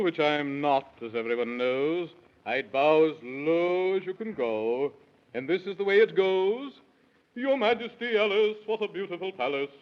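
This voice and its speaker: A man playing a fussy, bossy, pompous royal Prime Minister who talks in rhyme in a 1930s children's radio serial. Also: high-pitched. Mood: pompous, courtly. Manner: pompous, singsong rhyming. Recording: muffled 1930s radio recording.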